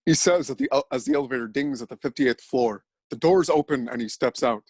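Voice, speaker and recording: A man narrating an absurd story in a mock-dramatic, deadpan style. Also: medium pitch, fairly quick, husky, no room sound.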